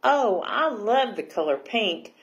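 A woman's voice: medium pitch, big pitch swings, slow, lo-fi sound. A woman voicing a tiny, polite young mermaid with a prim, precise manner in a children's storybook. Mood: shy, proud. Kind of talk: children's picture book read-aloud.

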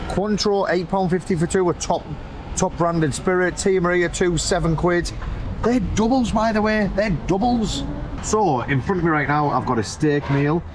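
A man's voice: high voice, moderate pace. A man in his thirties with a British accent, reviewing food casually to camera in a chatty, slangy, emphatic way. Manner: chatty, slangy, emphatic, repeats phrases for effect. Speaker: food reviewer and vlog host. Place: open-air restaurant terrace by a road. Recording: outdoor street-side noise, passing vehicles.

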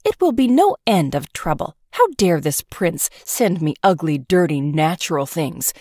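A woman's voice: low-pitched, big pitch swings, unhurried, dark tone, no echo, studio quality, exaggerated voice. A woman voicing a spoiled, squeamish young princess in a storybook, exclamatory, haughty and dramatic. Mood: horrified, indignant. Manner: exclamatory, indignant.

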